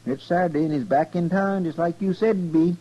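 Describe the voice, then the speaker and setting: Male actor for western sidekick: highish voice, eager, folksy, obedient; muffled vintage radio sound. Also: a little fast, close to an everyday voice. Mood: eager, puzzled. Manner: folksy, questioning, excitable. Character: eager, deferential, simple frontier deputy sidekick. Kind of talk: 1950s radio western acting. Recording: dull, noisy vintage radio recording.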